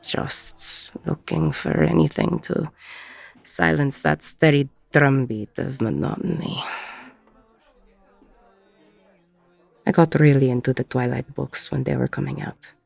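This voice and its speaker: A woman playing a weary, regretful ex-convict bar owner in an intimate audio roleplay, with a husky, gravelly voice and a reflective delivery full of pauses. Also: a bass voice, a little mellow, dry recording, heavily acted. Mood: haunted, subdued. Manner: slow, reflective, pausing mid-sentence.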